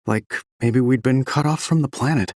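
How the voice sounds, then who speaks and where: An actor playing an earnest, thoughtful devotee of the planet in a video game, husky and measured in his speech. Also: medium pitch, a little mellow, dry recording, clean studio sound, close to an everyday voice. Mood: pained, confiding. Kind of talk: video game character dialogue.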